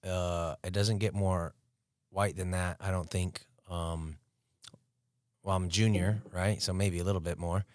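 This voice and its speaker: A man's voice: sits low, level tone, measured pace, no room sound, studio quality. An American man co-hosting a podcast, talking in a laid-back, casual way and swearing freely. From United States. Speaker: podcast co-host. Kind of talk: casual chat.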